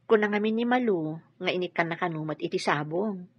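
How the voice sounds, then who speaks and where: A woman's voice: on the low side, a little fast, breathy edge. A woman playing a playful, curious young unmarried lady boss in a radio drama. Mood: curious, puzzled. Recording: dull lo-fi radio sound.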